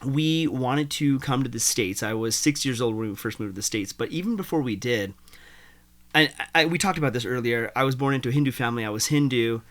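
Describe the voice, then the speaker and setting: A young man from India, a guest telling his life story in a casual interview, animated and full of "like". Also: medium pitch, fairly quick. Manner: animated, uses "like" often.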